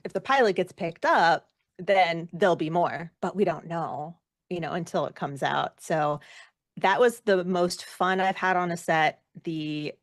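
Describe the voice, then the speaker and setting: Low-voiced, effusive woman in casual interview. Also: no room sound, clean studio sound. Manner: effusive, informal, emphatic about loving the work. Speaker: guest, actor and former rock singer.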